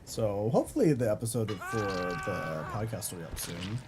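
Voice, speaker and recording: A man's voice: normal speed, over music. A young man streaming a game, chatting casually with his viewers, swearing and reading chat aloud. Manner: chatty, swears, reads chat aloud, swings between flat mutters and high squeals.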